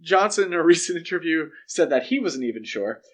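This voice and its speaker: A man's voice: on the high side, big pitch swings, fairly quick, fairly bright tone. A man co-hosting a podcast, discussing film news casually in a speculative, lightly joking way. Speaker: podcast co-host.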